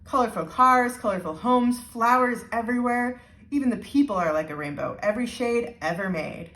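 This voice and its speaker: A woman's voice: a little high, animated, normal speed, a bit bright, distant mic, pitched above the performer's own voice. Woman playing an enthusiastic, nostalgic neighbor, roomy sound. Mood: delighted. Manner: emphatic, savoring each phrase. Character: enthusiastic neighborhood woman reminiscing fondly about home.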